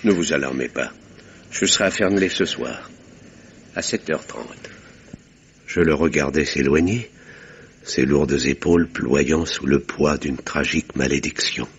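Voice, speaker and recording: An actor playing a weary, reserved country doctor who narrates a radio mystery in a measured, formal, literary way. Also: male voice, a bass voice, slightly rough, muffled recording, full character voice. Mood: courteous. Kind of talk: French radio mystery drama acting and narration.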